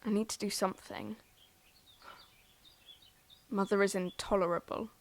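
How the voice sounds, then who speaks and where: An actress playing a headstrong, defiant young farm daughter in an audio drama, with a sarcastic, insistent way of talking. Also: female voice, medium pitch, steady tone, a little slow, natural voice.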